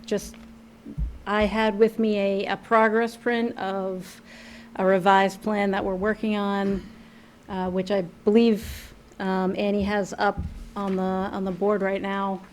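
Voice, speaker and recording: A woman engineer presenting revised site plans to a town board, loud and full of fillers. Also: medium pitch, flat delivery, slow, a little hiss or hum. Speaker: project engineer. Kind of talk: public presentation. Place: town meeting room.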